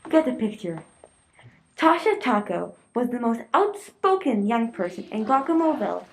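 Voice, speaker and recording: A woman's voice: a little high, big pitch swings, moderate pace, poor audio. A woman reading scripted narration for a radio play.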